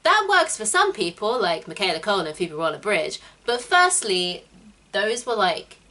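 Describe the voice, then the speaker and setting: A young woman hosting a casual chat, explaining her advice with quick asides. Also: mid-range voice, big pitch swings, bright, forceful tone. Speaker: host.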